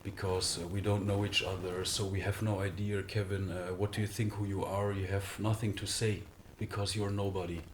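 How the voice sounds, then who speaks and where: A man from Germany with a German accent, speaking English as a second language as an interview guest, halting with frequent pauses and fillers. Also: low-pitched, little pitch movement, a little hiss or hum. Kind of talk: conversational interview.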